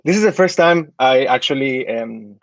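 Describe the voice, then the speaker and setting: A man's voice: medium pitch, animated, moderate pace, no room sound. A man in his thirties from Chile, an architect giving portfolio tips in an online talk, hesitant, with many fillers and restarts. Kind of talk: online talk with slide review.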